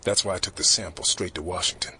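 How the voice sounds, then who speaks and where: A man's voice: low voice, fairly even intonation, quick pace, pushed and bright, slight background noise. A man playing a grim, weary government fixer who tells his story in terse, hard-boiled narration for an audio drama.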